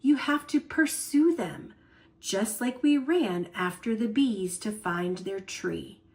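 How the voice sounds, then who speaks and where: Woman voicing a quiet, wise old grandfather. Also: medium pitch, animated. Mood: thoughtful, tender. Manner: quiet, thoughtful, slow and tender. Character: gentle, wise old grandfather sharing lessons. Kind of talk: storybook character voice.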